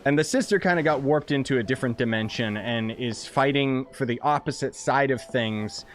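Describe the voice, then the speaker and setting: A man's voice: medium pitch, moderate pace. A young man rambling casually about a game he is playing, laid-back and full of fillers. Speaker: gaming streamer. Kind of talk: casual commentary over gameplay.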